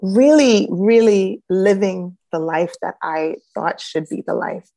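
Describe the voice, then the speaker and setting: A woman's voice: mid-range voice, takes it slowly, creaky. A woman from Jamaica with a light Jamaican accent, telling her life story as an interview guest, reflective and full of "um"s. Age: adult, a grown child. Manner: reflective, many "um"s, warm laughs. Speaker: guest, reboot coach.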